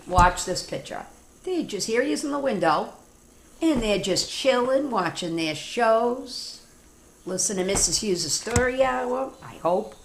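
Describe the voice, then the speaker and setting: A woman with an American accent reading a story aloud to children, animated and informal, with chatty asides. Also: on the high side, slight background noise. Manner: animated, informal, talks directly to young children. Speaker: teacher reading stories to children.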